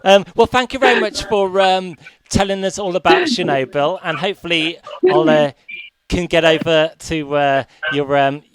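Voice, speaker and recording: A man interviewing someone casually, with hesitant, halting questions and short acknowledgements. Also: fairly high pitch, clear, resonant tone, no room sound.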